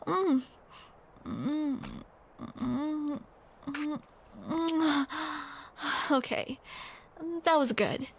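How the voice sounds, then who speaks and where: A woman's voice: high-pitched, drawn-out words, vocal fry, pauses often. A young woman performing a scripted romantic roleplay to the listener, with playful, teasing banter.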